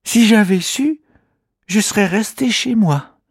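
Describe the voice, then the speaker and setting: Man doing a tiny earnest storybook creature, high, husky, slow. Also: animated, no room sound, clean studio sound, heavily acted. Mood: rueful. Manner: sincere, gently indignant. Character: little green living creature, small, earnest. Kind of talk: children's storybook reading.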